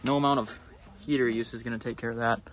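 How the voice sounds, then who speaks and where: A man's voice: mid-range voice, fairly even intonation, fast. A young man hosting a casual vlog from a small ice-fishing tent at night, upbeat and joking. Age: young adult. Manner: upbeat, joking, laughs often. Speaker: vlogger host.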